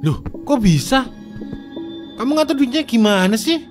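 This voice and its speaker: A man's voice: very high voice, very animated, normal speed, over music. A man voicing a greedy, self-pitying blinded husband in a cartoon drama, talking in a whiny, defensive way. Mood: accusing. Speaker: Adun. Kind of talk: cartoon voice acting.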